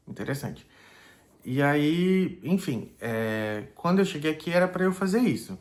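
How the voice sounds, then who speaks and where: Reflective pastor talking casually. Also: male voice, mid-range voice, fairly bright tone. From Brazil. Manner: thoughtful, many fillers like "né" and "é".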